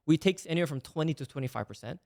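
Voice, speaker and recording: Fast-talking young man in his twenties. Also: fairly high pitch, animated, vocal fry, darkish tone. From Malaysia. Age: 20s. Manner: fast, eager talk with frequent "like" and "right?". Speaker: guest. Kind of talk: casual interview.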